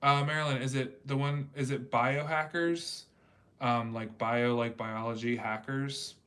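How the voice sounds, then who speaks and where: A man's voice: mid-range voice, little pitch movement, measured pace, a bit bright. A man hosting a casual livestream chat, rambling in a talkative way with many fillers. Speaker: livestream host reading chat.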